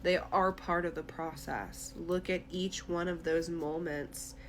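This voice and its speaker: A woman's voice: lowish voice, steady tone, unhurried, a little hiss or hum. A woman tarot reader speaking directly to the listener in a conversational, reassuring, therapy-minded way during an intuitive reading.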